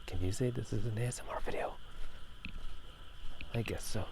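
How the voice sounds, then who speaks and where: A man speaking in soft whispers for a casual ASMR gaming chat outdoors at night, unscripted and rambling, often correcting himself. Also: fairly low pitch, steady tone, normal speed, gravelly, heavy background noise. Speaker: video host. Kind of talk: whispered ASMR chat about video games. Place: outdoors at night near rice fields.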